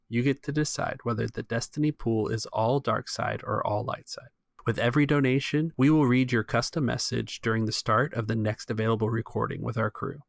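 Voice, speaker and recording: A man with a mid-pitched voice and a noticeable fry speaks as a game master. He narrates in a casual tabletop style, and the sound is very dry. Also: no echo. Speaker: game master and podcast host.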